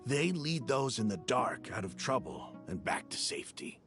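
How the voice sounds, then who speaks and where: A man playing a hearty, grandiose desert mercenary in a video game, with flowery, expressive speech. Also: medium pitch, normal speed. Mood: admiring. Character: hearty male desert mercenary, warm, theatrical, flowery-spoken. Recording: guitar music under the voice.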